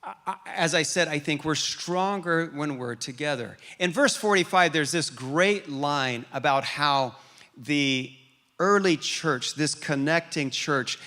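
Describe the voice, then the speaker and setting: A man's voice: fairly high pitch, unhurried. A man who grew up in Liberia as an American, preaching a storytelling sermon with frequent restarts. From grew up in Liberia, West Africa, as an American. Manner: storytelling, animated, with repeated restarts. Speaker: pastor.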